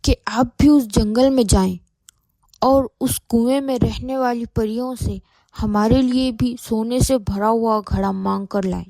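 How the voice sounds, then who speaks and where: A woman's voice: fairly high pitch, steady tone, unhurried, mellow, dry recording. A woman voicing a greedy, insistent neighbour wife in a Hindi cartoon moral story. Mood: demanding, annoyed. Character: greedy, demanding neighbour wife, later remorseful.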